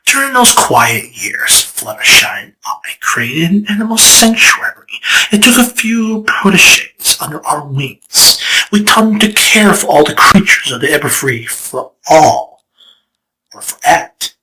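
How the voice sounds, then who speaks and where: Man playing a chaos lord, theatrical, bright tone, lively swoops. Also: fairly high pitch, unhurried, slight background noise, heavily acted, poor audio. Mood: nostalgic. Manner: theatrical, showy storyteller. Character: grandiose old male draconequus lord of chaos. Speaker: fan voice actor. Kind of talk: comic fan dub acting.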